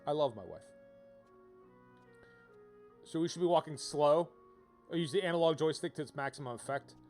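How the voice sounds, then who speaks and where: A man giving casual, rambling game-stream commentary in an animated, digressive way, repeating himself for emphasis. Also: fairly high pitch, normal speed. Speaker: livestreamer playing a visual novel. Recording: game music behind the voice. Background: video game music.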